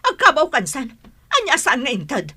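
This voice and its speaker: A woman's voice: high-pitched, huge pitch swings, normal speed, bright, forceful tone, exaggerated voice. An actress with an Ilocano accent playing a defensive, quarrelsome woman in a radio drama, protesting and arguing. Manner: protesting, argumentative. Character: defensive, quarrelsome woman pleading to stay in the house.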